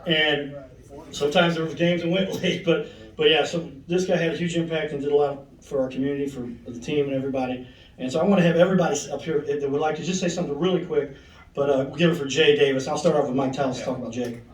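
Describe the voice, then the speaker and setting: A man's voice: a little high, steady tone, quick pace, a little hiss or hum. Rambling man at a podium in a hall. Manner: rambling, emotional, laughing asides. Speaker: master of ceremonies. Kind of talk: speaking at a banquet podium. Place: large echoey banquet hall. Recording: roomy hall, voice from far off.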